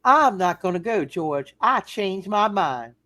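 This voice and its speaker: A woman's voice: mid-range voice, expressive, slow, pushed and bright, no room sound. A woman playing cheery small-town women in a hammed-up amateur Christmas radio play reading, with a playful manner. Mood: cheerful. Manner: playful, giggly.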